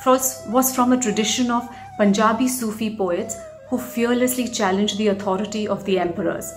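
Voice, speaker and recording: A woman in her thirties reads a prepared message aloud. Her voice is medium in pitch, with light music playing behind it. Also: moderate pace. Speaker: actor reading a message. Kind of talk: scripted message read aloud. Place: indoor room, plain wall.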